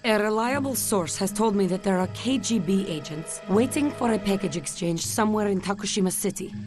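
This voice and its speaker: A composed female spy from a video game, played by an actress, with a Russian accent. She briefs like a professional and teases dryly, in a mid-pitched voice. Music plays clearly behind her. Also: fairly quick, close to an everyday voice. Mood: briskly businesslike. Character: cool, sultry female Soviet spy, wryly in control. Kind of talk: video game cutscene acting.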